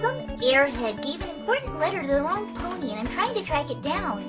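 A woman's voice: high voice, big pitch swings, fairly quick, loud music behind the voice. A woman voicing a blunt, teasing young female pegasus pony in a cartoon dub. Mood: brisk. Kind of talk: cartoon fandub acting.

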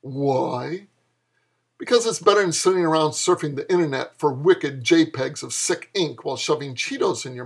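Husky, wry man in his fifties. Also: on the high side. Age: 50s. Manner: wry, exasperated delivery of an older mentor's lines. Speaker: author reading own fiction. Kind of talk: dramatic flash fiction reading in dialogue.

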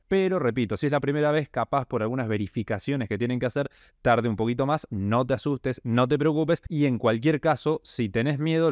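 A man's voice: mid-range voice, darkish tone, dry recording, clean studio sound. A young man with a Rioplatense Argentine accent, walking viewers step by step through a tutorial as host of a finance channel. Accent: Rioplatense Spanish. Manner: direct, walks viewer step by step. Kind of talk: casual tutorial explainer. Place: small indoor room.

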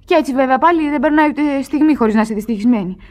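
A woman's voice: sits high, darkish tone, lightly acted. An actress playing an impulsive, naive, lovestruck girl of twenty in a Greek radio theatre production. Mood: tender, joyful.

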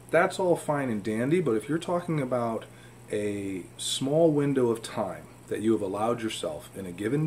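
Guy in his 40s, kinda low voice, emphatic fitness tips to camera, bit of room noise. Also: a little mellow. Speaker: fitness vlogger giving training advice. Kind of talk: informal instructional talk to camera. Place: indoor home room with fish tanks. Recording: clear room hum and background noise.

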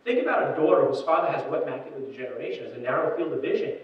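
A middle-aged man gives a keynote on a dark ballroom stage and hammers home points by repeating them. He is heard from well back in the hall, so his voice rings off the walls with a long echo. The sound is dull and muffled, as if taken through the room's speakers. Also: mid-range voice, sing-song, distant mic. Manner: emphatic, quick asides, repeats key phrases. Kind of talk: conference keynote talk. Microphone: room PA picked up by a far camera.

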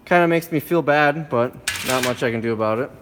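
A man filming on his phone indoors, casually listing items from a storage unit in a quick, offhand way. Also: mid-range voice, noisy background. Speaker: reseller showing a storage unit haul. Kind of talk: casual walkthrough narration. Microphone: handheld phone camera.

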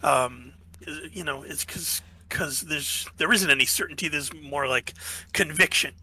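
A man's voice: on the high side, a little slow, sharp tone, breathy edge, low-quality recording. A man co-hosting a podcast, musing through philosophy in casual chat, checking in with "right?" and losing his voice. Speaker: podcast co-host.

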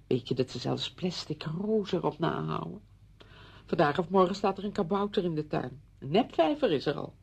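An actress playing a chatty, meddling woman visiting an old friend in a Dutch radio play, brisk and insistent in manner. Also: low-pitched, lively intonation, darkish tone, full character voice. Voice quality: husky, creaky.

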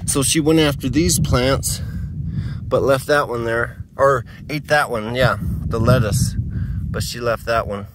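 A man strolls through his desert garden with his phone, rambling easily as he points things out. His voice sits at a middle pitch. The outdoor phone recording carries clear background noise. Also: slow pace. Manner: rambling, easygoing, thinking aloud. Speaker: home gardener. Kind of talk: casual garden tour, talking to camera.

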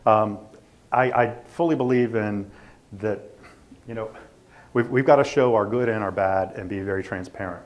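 Male presenter, lowish pitch, informal technical talk, halting delivery, self-deprecating. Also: dull audio. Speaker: company presenter.

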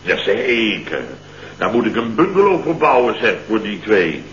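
A man's voice: on the low side, very animated, normal speed, fairly bright tone. An actor playing a blustering middle-aged father in a late-sixties Dutch radio comedy, telling stories with theatrical indignation and mimicked quotes. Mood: indignant. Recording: dull, roomy, noisy old radio recording.

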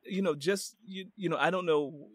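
A man's voice: fairly high pitch, normal speed, no room sound, clean studio sound. A male podcast host chatting casually with a guest, often answering with short acknowledgments. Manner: brief affirming interjections. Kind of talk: casual interview chat.

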